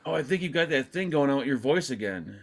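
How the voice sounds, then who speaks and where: A man co-hosting a live vape stream, loose and talkative. His voice is mid-pitched, and he jokes and wanders through his points with little asides. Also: expressive. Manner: joking, rambling, quick asides. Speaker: co-host. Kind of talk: casual live-stream chat.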